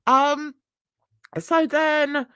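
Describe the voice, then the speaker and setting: A woman's voice: high voice, steady tone, very slow, pushed and bright, no echo, studio quality. A woman, chatting casually with livestream viewers in a rambling, self-mocking way and laughing often. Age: 60s. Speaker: livestream host.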